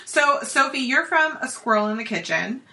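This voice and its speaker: A woman's voice: high voice, animated, normal speed, sharp tone. A woman co-hosting a casual podcast, chatty and upbeat as she keeps the conversation moving and brings in a guest. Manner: chatty, upbeat, runs the interview. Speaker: podcast co-host.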